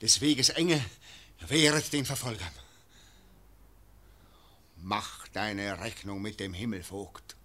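An actor playing a lone archer waiting in ambush, speaking a tense inner monologue in verse. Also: male voice, fairly low pitch, slow, pauses often, sharp tone. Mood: grim.

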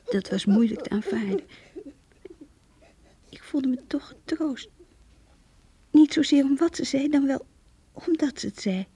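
An actress playing a heartbroken young woman who confides her lost love in an intimate, halting radio play monologue, breathy and hushed. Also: high-pitched, lively intonation, no room sound. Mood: wistful.

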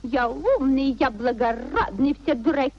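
An actress playing a petulant, mocking, materialistic young wife in a 1950s Russian radio play, scornful and pouting. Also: female voice, sits high, moderate pace, lo-fi sound. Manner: scornful, pouting, sing-song jibes.

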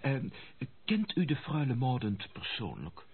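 An actor playing a polite, hesitant young gentleman who makes discreet inquiries in a radio play. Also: mid-range voice, huge pitch swings, measured pace, slightly rough, full character voice. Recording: muffled old radio play recording, faint music behind.

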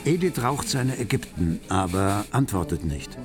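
A man acting as the wry narrator of a literary radio play about bohemian Berlin, articulate and measured in a declamatory storytelling way. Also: lowish voice, expressive. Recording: music behind the voice.

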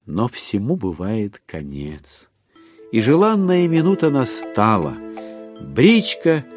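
A man narrating a classic story in an audio drama, with an expressive literary delivery. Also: medium pitch, slow pace, faint music behind.

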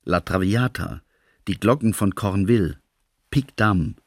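An actor playing a stiff official announcer who formally lists a wartime opera programme in a radio play. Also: male voice, lowish voice, lively intonation, slow pace, dry recording, studio quality, exaggerated voice. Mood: cold, formal. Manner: clipped, formal listing.

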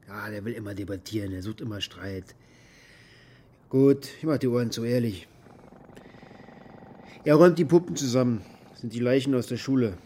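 An actor playing a bitter, maimed former military contractor who rambles coarsely and defensively in a naturalistic radio drama monologue. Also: male voice, medium pitch, mellow, close to an everyday voice. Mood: resentful. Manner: coarse, defensive, agitated rambling with rhetorical questions.

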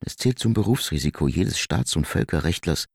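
An actor playing a self-justifying lawyer in a radio play, speaking in a measured, lecturing way. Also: male voice, low voice, animated, creaky, breathy edge, no echo, clean studio sound, full character voice. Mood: defensive. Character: self-justifying male constitutional lawyer, evasive.

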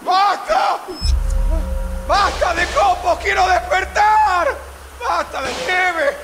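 An actor playing an anguished, horrified man trapped in a deadly snowfall in a radio drama, with a gravelly, haunted, desperate delivery. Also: very high voice, animated, slow pace, shouting, over music, a crowd in the background, heavily acted. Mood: desperate shouting. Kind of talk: radio drama acting and narration.

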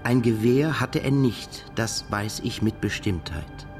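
Low-voiced brooding narrator. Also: male voice, music in the background. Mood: absorbed, tense. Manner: halting, self-correcting while translating aloud from English. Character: brooding Russian émigré man, narrator haunted by a past shooting. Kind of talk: literary radio drama narration and dialogue.

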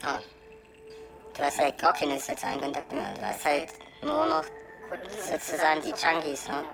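A woman talking casually and candidly as an interviewee, colloquial and halting, often adding little tag questions. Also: sits low, big pitch swings, vocal fry. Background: music.

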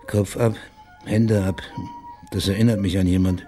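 A man's voice: deep voice, steady tone, measured pace, warm tone, faint music behind. An actor playing a dry, sardonic male private detective in a radio crime drama, speaking laconically and wryly. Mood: wry, probing. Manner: laconic, wry, probing questions.

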